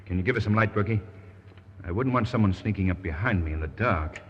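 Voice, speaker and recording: An actor playing a calm, protective male hero in a 1940s radio drama, with a steady, reassuring manner. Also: sits low, fast talker, husky. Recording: dull old radio broadcast with reverb.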